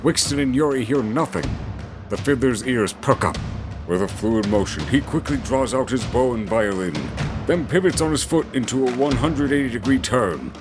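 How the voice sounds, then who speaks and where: A man's voice: medium pitch, expressive, moderate pace. A man narrating a scripted fantasy audio drama in a dramatic storytelling style. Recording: music under the voice. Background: music.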